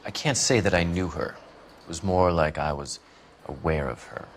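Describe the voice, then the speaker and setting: An actor playing a haughty, evasive starving writer in a 1940s crime game, condescending and guarded. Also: male voice, sits low, moderate pace, slight background noise.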